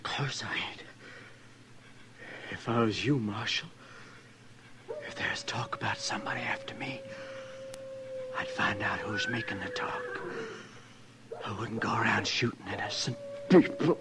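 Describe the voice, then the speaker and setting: An actor playing a bitter, mortally wounded cowboy, gasping in pain in an old radio drama. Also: male voice, lowish voice, expressive, measured pace, long pauses. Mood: bitter, weakening. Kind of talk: old-time radio western acting. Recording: slightly noisy old radio recording.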